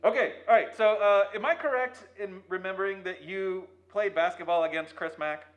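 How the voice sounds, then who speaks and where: A man's voice: high-pitched, moderate pace, muffled recording. A man hosting a casual interview with quippy, bantering questions and asides. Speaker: interviewer, host. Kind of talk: casual, jokey interview chat.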